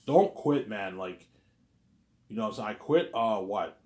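A man's voice: fairly low pitch, expressive, slow, a little mellow. Rambling man in his thirties, echoey room. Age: 30s. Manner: rambling, repetitive, stumbles over words, filler-heavy. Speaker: vlogger. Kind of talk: casual rambling monologue.

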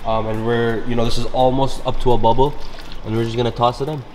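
A man's voice: medium pitch, little pitch movement, fast talker, darkish tone, a little hiss or hum, rough recording. A young man chatting through a cooking session in a big home kitchen, upbeat and gushing about the food. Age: young adult. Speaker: cooking vlogger. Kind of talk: casual cooking commentary.

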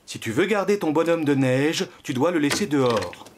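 A man voices a donkey father in a preschool cartoon. His voice moves up and down expressively as he gently questions and explains. Music plays behind him. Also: fairly high pitch, animated, normal speed, close to an everyday voice. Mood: gently chiding. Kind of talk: French preschool cartoon voice acting.

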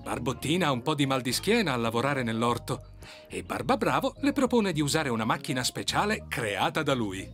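A man's voice: medium pitch, sing-song, fairly quick, over music, close to an everyday voice. A man narrating a children's cartoon in Italian as a friendly, scripted storyteller.

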